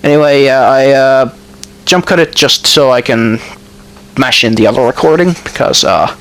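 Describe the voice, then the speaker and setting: A man's voice: mid-range voice, normal speed, a bit bright, low-quality recording. A man with a Finnish accent, speaking Finnish as his first language, giving a casual voice-over of his own gameplay. He rambles and hesitates, fills gaps with ums, corrects himself, and throws in dry asides. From Finland. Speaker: gamer narrating his building.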